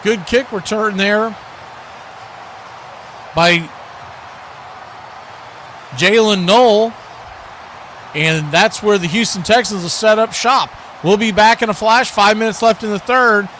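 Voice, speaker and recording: A man's voice: sits high, slow pace, long pauses, a bit bright, slight background noise. A man doing live football radio play-by-play in short, clipped, conversational calls. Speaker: play-by-play announcer.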